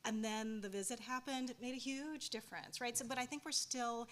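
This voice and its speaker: A woman's voice: fairly high pitch, fairly even intonation, fairly quick. A woman on a panel, speaking conversationally and thoughtfully and often ending points with a questioning "right". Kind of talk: panel discussion.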